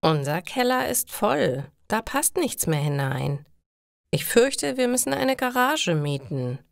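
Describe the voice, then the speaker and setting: Lady doing a sensible older mom in a storybook, deliberate, dry close sound. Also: mid-range voice, very animated, vocal fry, no echo, pitched above the performer's own voice, heavily acted. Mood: concerned. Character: practical older mother advising her grown daughter.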